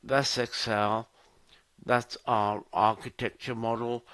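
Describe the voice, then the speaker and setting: Male presenter for a product demo: unhurried, halting explanatory delivery, dry close sound. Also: mid-range voice, little pitch movement, a bit bright, no room sound. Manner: halting, explanatory, in short phrases. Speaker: solution architect presenter. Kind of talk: software demo walkthrough.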